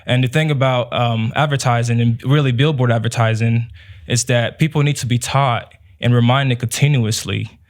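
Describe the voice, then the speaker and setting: Flat-toned young man. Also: medium pitch, normal speed. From Nigeria. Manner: relaxed, many "um" and "you know". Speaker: guest, business owner. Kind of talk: casual interview answers.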